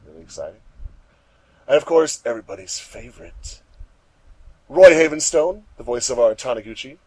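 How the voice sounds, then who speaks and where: A man with a middle-pitched voice hosts in a casual style. His delivery is upbeat and announcer-like, with emphatic stresses on key words. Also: animated, moderate pace, a little mellow, slightly rough, poor audio. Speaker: host of a fandub commentary track. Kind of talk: casual introductions and chat.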